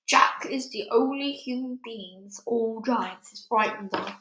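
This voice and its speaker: A woman's voice: fairly high pitch, a little slow, pushed and bright, roomy sound, low-quality recording. A woman voicing a cautious, earnest male storybook giant who explains things in quirky muddled grammar. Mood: earnest explaining.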